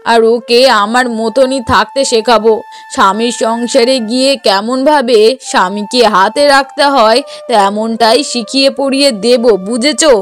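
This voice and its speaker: High-pitched bossy, scheming cartoon mother acted by a woman. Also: lightly acted. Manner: bossy, scolding, argumentative. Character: scheming, sharp-tongued middle-aged mother and bossy wife. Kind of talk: Bengali moral-story cartoon dubbing.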